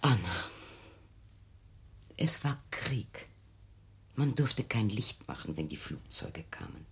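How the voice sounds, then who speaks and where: An elderly mother in a radio drama, her very low voice husky and frayed. She is anxious and worn out, placating and protesting, and her pitch swings widely as she pleads. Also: very animated, slow, exaggerated voice. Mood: patient, placating. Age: older. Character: anxious, weary elderly mother soothing her troubled daughter. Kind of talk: radio play acting.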